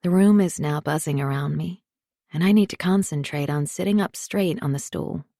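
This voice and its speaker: A woman reads a first-person thriller with a measured, confiding delivery. Her voice sits somewhat low and carries a gravelly edge. The recording is close and dry, with no room sound. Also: mellow, no room sound. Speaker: audiobook narrator. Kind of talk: first-person scripted narration.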